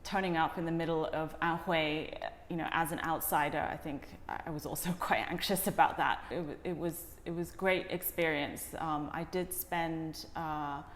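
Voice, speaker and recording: Low-voiced woman in an echoey room. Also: fairly even intonation, moderate pace, few pauses. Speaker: interview guest, documentary director. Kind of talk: reflective interview answers. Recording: echoey reverberant room with faint noise.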